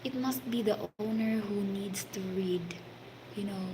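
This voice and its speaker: A woman with a Filipino accent recounts a story at a slow, unhurried pace, stopping often on fillers and sometimes sinking into a half-whisper. Steady background noise sits clearly under her voice in this casual recording. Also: mid-range voice. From Philippines. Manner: halting, many fillers, partly whispered. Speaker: hospitality worker telling a story. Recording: noisy background.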